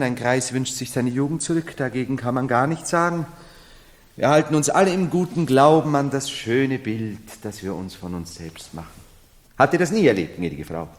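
An actor playing an unsettled, imperious man who believes himself emperor, in solemn, theatrical radio drama style, halting and then rushing. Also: medium pitch, close to an everyday voice. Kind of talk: 1970s German radio drama acting. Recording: long hall reverb.

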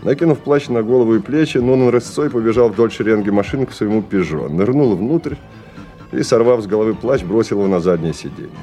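A man narrating a novel in a radio drama as a measured, sober storyteller. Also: on the low side, warm tone, soft background music, close to an everyday voice.

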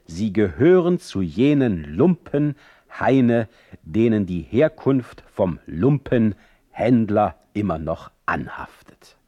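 A man voicing a young, idealistic gentleman in a radio play, gallant and easily offended, speaking in high-flown courtly phrases. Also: big pitch swings, slow pace, no room sound, lo-fi sound, heavily acted. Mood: outraged. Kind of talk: quoted dialogue in literary radio play.

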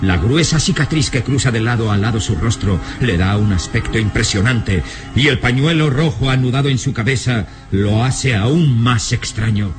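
A man's voice: mid-range voice, moderate pace. A man narrating a radio serial in a dramatic, scripted style, solemn and suspenseful, with music behind.

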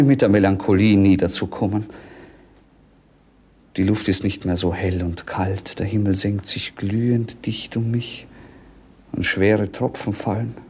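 An actor playing a dreamy, world-weary young prince, declaiming poetically and languidly in 1950s radio drama. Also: male voice, lowish voice, gravelly, a little mellow, a little hiss or hum. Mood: self-mocking.

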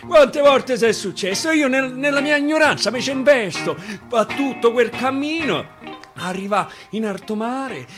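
A male stage actor with a Roman accent recites dialect verse theatrically, swinging from hushed to loud. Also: very high voice. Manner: dramatic, swinging from hushed to shouting. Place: radio studio. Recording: clear guitar music underneath. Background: plucked guitar accompaniment.

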